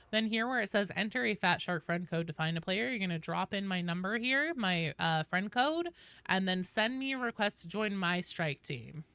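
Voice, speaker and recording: A woman's voice: medium pitch, bright, forceful tone, clear, resonant tone, no room sound. A woman hosting a casual game livestream, chatting informally and explaining things at length as she talks directly to viewers. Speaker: video game streamer, host.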